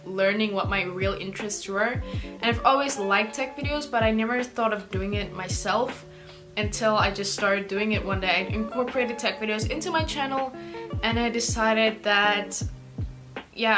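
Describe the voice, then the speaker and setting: A teenage South African girl, an Afrikaans speaker, answering viewer questions in a chatty, rambling way with lots of "like". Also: a little high. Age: teens. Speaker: YouTuber. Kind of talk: casual Q&A vlog.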